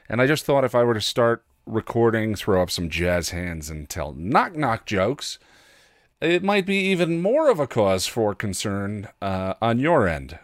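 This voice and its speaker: A man with a cold talking casually and haltingly, with self-deprecating asides, as a solo podcast host. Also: on the low side, no echo, clean studio sound. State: congested with a cold.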